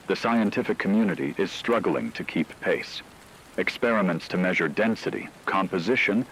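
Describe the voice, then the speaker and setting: A man playing a grave radio news anchor in an apocalypse drama, speaking in a measured, formal way. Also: sits low, fairly bright tone, slight background noise, poor audio. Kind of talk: scripted radio news bulletin.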